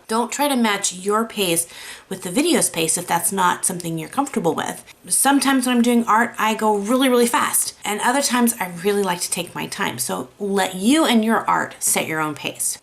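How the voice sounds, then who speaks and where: A woman with a raspy voice gives a chatty, encouraging watercolor lesson. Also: medium pitch, a bit bright. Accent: American. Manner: encouraging, chatty, self-deprecating. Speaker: watercolor art teacher. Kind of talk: casual tutorial talk.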